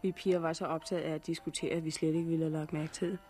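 Actress as a calm, resolute young woman. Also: low-pitched, soft background music, natural voice. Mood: composed. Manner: measured, gently persuasive. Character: calm, resolute young woman, loving but firmly principled. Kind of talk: 1960s radio drama acting.

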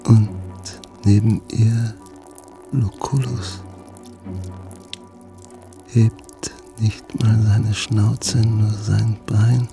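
An actor playing a dazed, injured man in a radio drama, narrating in a detached, creaky voice. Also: fairly low pitch, level tone, drawn-out words, pauses often, music in the background, exaggerated voice. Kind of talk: slow first-person radio drama monologue.

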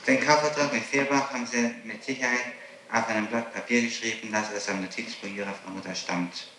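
A man's voice: medium pitch, fairly even intonation, brisk, roomy sound, noisy background. A man conducting a formal police interrogation, pressing with matter-of-fact questions on an old 1960s tape.

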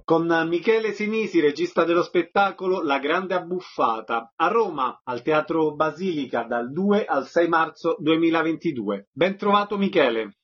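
A man hosts a radio interview in a somewhat high-pitched voice. His tone is rough and breathy at the edges, and the sound is slightly dull and lo-fi. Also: lively intonation, normal speed, few pauses, faint music behind. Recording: muffled lo-fi radio audio.

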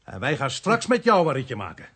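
A tired police inspector in a radio drama. His voice is husky and mid-pitched, and he speaks patiently and politely with small hesitations. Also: huge pitch swings, fairly quick, a bit bright, exaggerated voice. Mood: impatient. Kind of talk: radio play acting.

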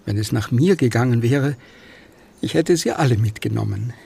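An actor in a German radio play playing a gentle, sorrowful man in a subdued, matter-of-fact way. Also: mid-range voice, expressive, moderate pace, vocal fry, husky, exaggerated voice. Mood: quiet sorrow. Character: sorrowful, gentle man who tends stray animals.

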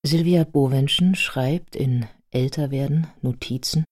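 Eine Frau erzählt als Sprecherin eines literarischen Hörspiels, gemessen und deutlich artikuliert. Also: female voice, extremely low pitch, a little mellow. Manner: measured, articulate. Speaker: narrator. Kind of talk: scripted literary radio narration. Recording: music behind the voice. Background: prominent music.

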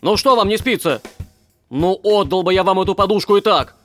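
An actor playing a gloating, mocking villain of a dream land in a children's musical audio play, with a drawling, mock-sweet theatrical manner. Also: male voice, high voice, fairly quick, faint music behind, natural voice. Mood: grumbling, irritated.

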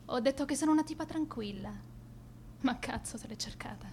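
An actress playing a vain, contemptuous high school girl bully in a radio drama monologue, haughty and self-absorbed. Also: fairly quick, darkish tone, a little hiss or hum, lightly acted. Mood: furious.

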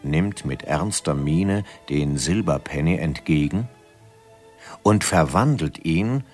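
A man narrating a radio drama as a storyteller, measured and suspenseful. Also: extremely low pitch, animated, soft background music, full character voice.

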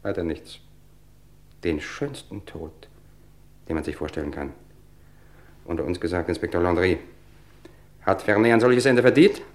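Casting: male actor for a smug, cultivated poisoner, suave and mocking, measured delivery, vintage radio crime play. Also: low voice, natural voice. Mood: coolly boastful. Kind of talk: vintage German radio crime drama acting.

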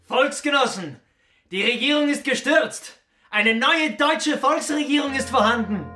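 A fanatical young militiaman in a radio drama, very high and piercingly bright. He declaims like an agitator in an echoing room. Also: a little slow, soft background music, full character voice. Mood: fanatical, triumphant. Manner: declamatory, strident.

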